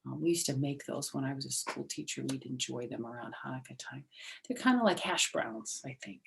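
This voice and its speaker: A woman's voice: very deep voice, a little fast, vocal fry, a little hiss or hum. A woman reading a picture book aloud as a storyteller, with chatty asides that explain things simply, as to young children.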